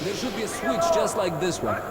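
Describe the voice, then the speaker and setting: Brash Russian soldier, very animated, high-pitched. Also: male voice, a little fast, heavy background noise. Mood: chummy, brisk. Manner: rough, colloquial, animated. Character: brash, chummy Russian soldier comrade, seasoned fighter. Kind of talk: video game acting. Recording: loud game soundtrack behind voice.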